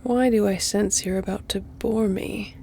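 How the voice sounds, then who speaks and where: A woman voicing a flirtatious, predatory vampire lady in an intimate audio roleplay, teasing and haughty, often sinking into a breathy whisper. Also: mid-range voice, fairly even intonation, a little slow, dark tone, slight background noise. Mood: seductive, menacing.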